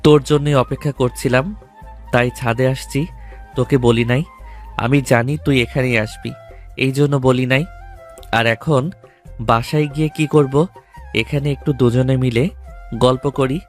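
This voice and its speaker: A man's voice: mid-range voice, steady tone, a little slow, pauses often, soft background music, natural voice. A man playing a coaxing young lover in an audio love story.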